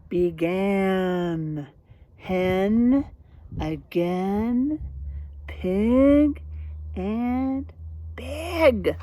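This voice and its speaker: A woman's voice: mid-range voice, warm tone. A woman reading a children's story aloud as a teacher would, speaking directly and encouragingly to young listeners. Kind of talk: children's read-aloud with rhyme and vocabulary talk.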